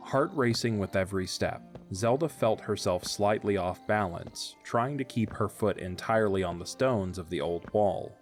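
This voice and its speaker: A man narrating an audio drama in a measured storytelling delivery. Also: lowish voice, soft background music.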